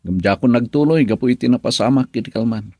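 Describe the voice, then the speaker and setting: A man's voice: medium pitch, quick pace, mellow, no echo, lightly acted. An actor playing an injured husband earnestly pleading with his mother, in Ilocano radio drama style with an Ilocano accent. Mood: earnest explaining.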